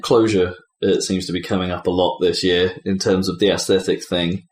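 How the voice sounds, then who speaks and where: A man hosting a casual podcast discussion, thinking aloud in halting, emphatic phrases. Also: deep voice, level tone. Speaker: podcast host presenting award categories. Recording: roomy, faint background noise.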